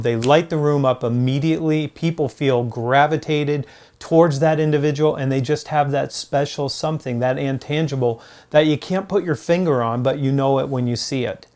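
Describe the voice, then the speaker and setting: Guy doing a sales coaching vlog, average pitch, emphatic and motivational, talks right at you and repeats stuff to drive it home. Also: a little mellow. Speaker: host, sales author and coach. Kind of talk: motivational business advice to camera.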